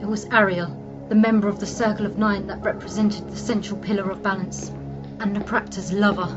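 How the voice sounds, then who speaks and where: A woman playing a trapped human alchemist, narrating her own ordeal gravely and theatrically in a fantasy audio drama. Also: mid-range voice, level tone, brisk, lightly acted. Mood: grave awe. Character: female human alchemist, trapped, wary and determined survivor. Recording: dull lo-fi sound, music underneath. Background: music.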